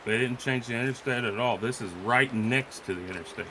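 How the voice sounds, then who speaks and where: Casual, blunt male streamer with a mid-pitched voice, swears freely, clear background noise. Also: a little fast, sharp tone. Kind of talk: casual chat on a live stream.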